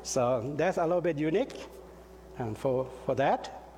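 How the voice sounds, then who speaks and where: A middle-aged pastor delivers his sermon very slowly, in a fairly high voice. He stops often to search for words and jokes about himself. His voice rings out through a reverberant church hall over a faint room hum. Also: expressive, a little mellow. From Laos. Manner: halting, with frequent fillers and light self-deprecating jokes. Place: church sanctuary.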